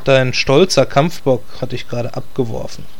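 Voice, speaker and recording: A man's voice: mid-range voice, normal speed, natural voice. A man voicing a dry, sarcastic male druid in a game parody radio play.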